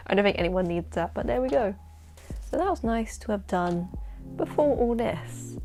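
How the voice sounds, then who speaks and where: A young woman with a London accent and a husky voice, chatting excitedly to camera in a casual vlog about her work as a poet. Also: mid-range voice, faint music behind. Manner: chatty, excitable, quick asides and laughs. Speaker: poet and vlogger. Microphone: camera-mounted shotgun mic.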